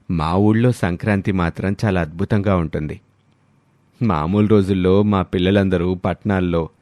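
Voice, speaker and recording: A very low, fatherly voice acted by a man for a kindly middle-aged villager in a storybook tale. He speaks in a measured, welcoming way, in a dry, close sound. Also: a little mellow, no room sound, lightly acted. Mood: affectionate, welcoming. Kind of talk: voice acting in a moral story.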